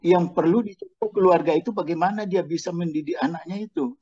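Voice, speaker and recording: A man's voice: high-pitched, a little fast, warm tone, husky. An older man leading a casual panel discussion, making his point through examples. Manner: steering talk with examples. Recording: dull lo-fi sound.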